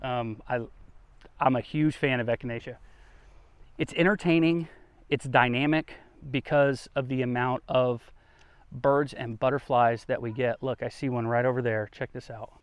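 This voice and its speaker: A man's voice: medium pitch, fairly even intonation, moderate pace, clean tone, no room sound. A man hosting a garden tour outdoors, talking in a folksy, conversational way as he explains things casually. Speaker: gardening vlogger, host.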